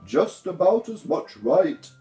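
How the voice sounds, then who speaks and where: A pompous, moralising storybook Duchess, put on by a man, pitched high and swooping about. The delivery is emphatic and self-satisfied, as if every remark were a grand lesson. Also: sing-song, slow, warm tone, reverberant, recorded from a distance, faint music behind, heavily acted. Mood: needling. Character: pompous, moralising, overbearing Duchess, adult woman. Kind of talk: storybook character voice.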